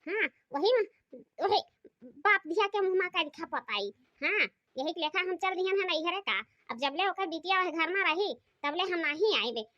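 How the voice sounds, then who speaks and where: A woman voicing a gossipy, complaining young village wife in an Awadhi cartoon comedy, with an Awadhi accent and a shrill, nagging chatter. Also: animated, moderate pace, pushed and bright, exaggerated voice. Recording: dry, muffled lo-fi.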